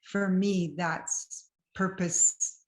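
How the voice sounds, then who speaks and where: A woman's voice: lowish voice, fairly even intonation, very slow, roomy sound. A woman from Belgium, a marriage counselor, earnestly telling her faith story in an interview. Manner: earnest, reflective, varied pace. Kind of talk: personal testimony interview.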